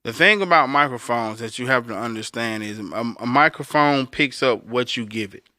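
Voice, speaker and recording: A man with a bright, forceful voice and a clear, resonant tone talks casually as a podcast co-host. He explains things like a working engineer, straight to the listener, in a close, dry recording. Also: normal speed, dry recording. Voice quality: deep voice. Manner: conversational explainer, addressing listeners directly. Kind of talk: casual instructional talk.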